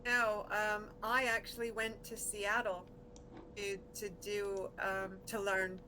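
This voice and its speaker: A woman's voice: medium pitch, slow, slight background noise, low-quality recording. Woman, American-British accent, guest artist, easygoing, voice-call audio. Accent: American softened by decades in Britain. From United States. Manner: relaxed, laughs easily, trails off. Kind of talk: casual interview chat.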